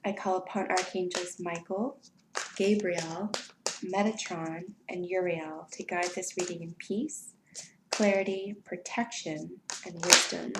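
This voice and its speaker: A woman giving a weekly tarot reading in an unhurried, soft way, sounding stuffy from allergies. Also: on the low side, reverberant, noisy background. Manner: soft, unhurried, spiritual invocations. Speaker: tarot reader.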